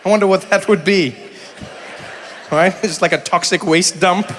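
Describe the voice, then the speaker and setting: A middle-aged man from Africa, doing animated stand-up comedy and crowd work, breaking into shouted impressions and teasing banter. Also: high voice, moderate pace. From South Africa. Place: stage in a large theater before an audience. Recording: live theater with long hall reverb and faint room noise.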